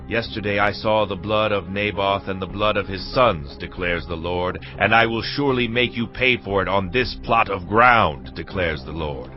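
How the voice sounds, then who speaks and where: A man's voice: on the low side, lightly acted. An actor playing Jehu, a fierce and commanding warrior king, declaiming sternly in a dramatised audio Bible. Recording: dull lo-fi audio with music.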